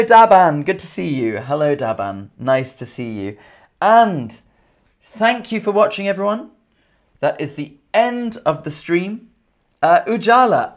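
Slow, lively English man teaching live. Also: on the high side. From England. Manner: slow, repeats each question and answer, thanks viewers by name. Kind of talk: live online English lesson, reading chat comments. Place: indoors, in Scotland.

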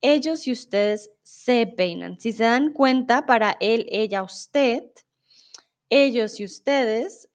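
A woman's voice: a little high, slow pace. A young Colombian woman from Bogotá with a Bogotá accent, teaching a live-streamed Spanish lesson, explaining patiently and reading viewer comments aloud.

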